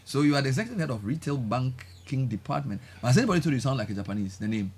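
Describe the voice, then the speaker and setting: A man's voice: medium pitch, animated, racing, a little mellow, husky. A man with a Ghanaian English accent hosting a live breakfast radio interview, teasing his guests and laughing often. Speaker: radio show host. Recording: radio studio with faint ambience.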